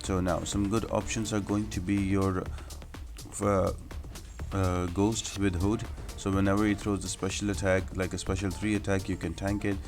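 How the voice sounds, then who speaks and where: A man's voice: low-pitched, little pitch movement, moderate pace, a little mellow, soft background music. A man giving game walkthrough commentary in an explanatory, matter-of-fact manner, as the host of a guide.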